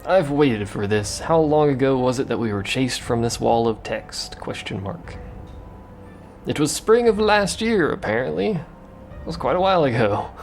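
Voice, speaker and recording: Male let's-play commentator, mid-pitched, jokey and rambling, with faint game music underneath. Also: animated, moderate pace. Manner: joking, rambling, self-mocking. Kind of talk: casual gameplay commentary.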